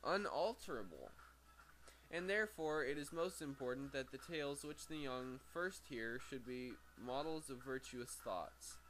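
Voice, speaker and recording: Guy reading out a book, middle pitched voice, trips on words and fixes them, bit of background noise. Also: animated, a bit bright, poor audio. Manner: halting, stumbles over words and corrects himself. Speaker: amateur book reader. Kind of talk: reading a book aloud with off-script asides. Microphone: very sensitive microphone. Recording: faint background noise, sensitive microphone.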